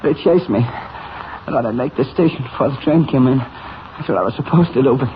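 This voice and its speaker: An actor playing a badly wounded, defiant crook in a 1940s radio serial, gasping weakly in broken, slangy speech. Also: male voice, medium pitch, a little fast, natural voice. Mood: pained, defiant. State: weak, gasping as if dying. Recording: muffled vintage radio recording, light noise.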